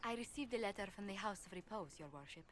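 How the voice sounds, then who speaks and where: A woman's voice: mid-range voice, lively intonation, fast talker, slight background noise, lightly acted. A woman voicing a poised, formal ambassador. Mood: composed. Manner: formal, composed. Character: poised female ambassador and former bard. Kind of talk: video game voice acting.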